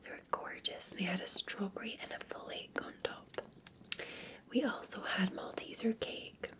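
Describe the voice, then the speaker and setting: A Scottish woman speaking in a soft, breathy whisper, gently and soothingly chatting to the listener as a barista in an ASMR roleplay. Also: medium pitch, moderate pace. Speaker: ASMR performer playing a cafe barista. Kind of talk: ASMR roleplay, unscripted-sounding chat to the listener.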